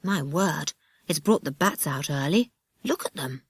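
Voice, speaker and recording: An eager young boy from a children's adventure book, read by a woman. He speaks in a hushed, conspiratorial way with lively inflection, recorded dry. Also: sits low, measured pace, breathy edge, no room sound, heavily acted.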